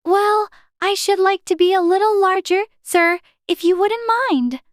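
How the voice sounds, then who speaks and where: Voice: very high-pitched, steady tone, a little slow, no echo, studio quality, pitched above the performer's own voice. A narrator voicing a polite, plaintive little girl, earnest and easily flustered, in a dramatized storybook. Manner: earnest, exclaiming, proper.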